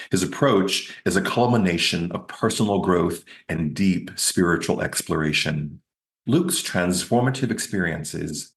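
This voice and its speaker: A man hosting an interview show, reading a measured scripted introduction and then asking questions. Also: low-pitched. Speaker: podcast host.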